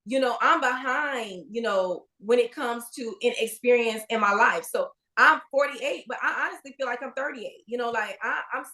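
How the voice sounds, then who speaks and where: A woman's voice: on the high side, normal speed, hardly any pauses, sharp tone. Candid chatty woman in her forties. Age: 40s. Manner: candid, chatty, lots of fillers and restarts. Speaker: podcast co-host, registered nurse. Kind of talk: casual chat.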